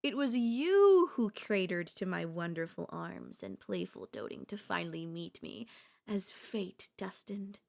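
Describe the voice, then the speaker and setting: A woman's voice: medium pitch, big pitch swings, measured pace, gravelly, a little mellow. A woman playing a doting, possessive girlfriend in an ASMR audio roleplay, speaking in flowery, coaxing endearments with a teasing elegance. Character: doting, possessive yandere girlfriend, sweetly insistent and theatrical.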